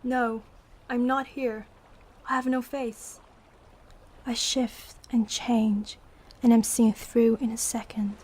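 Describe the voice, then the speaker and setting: A woman playing a dreamy, fragile schoolgirl given to grand fantasies, speaking in a measured, intense way in a poetic audio drama. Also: fairly high pitch, flat delivery, a little mellow, husky. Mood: fearful.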